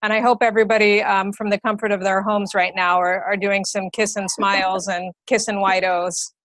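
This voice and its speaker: A woman's voice: medium pitch, level tone, normal speed, a bit bright, dry recording, poor audio. A woman moderating an online webinar, introducing the presenter and adding encouraging comments. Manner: upbeat, encouraging. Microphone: computer mic on video call.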